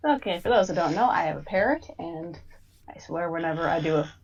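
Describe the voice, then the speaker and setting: A woman's voice: fairly low pitch, lively intonation, fairly quick, breathy edge. A woman chatting casually with friends over a voice call. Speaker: line editor, reaction panelist. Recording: slightly dull voice-call audio.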